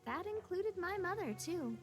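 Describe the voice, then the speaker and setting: An actress playing a resolute, friendly young female boss in a video game, with an earnest, expressive and wistful manner. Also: very high voice, moderate pace. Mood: candid, exasperated. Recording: music playing behind the voice.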